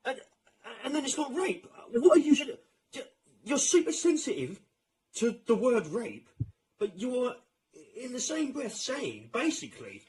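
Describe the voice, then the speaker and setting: A man's voice: extremely high pitch, big pitch swings, measured pace, darkish tone, poor audio. A British man giving a mocking opinion rant as an online commentator, with a sarcastic, exasperated, informal manner.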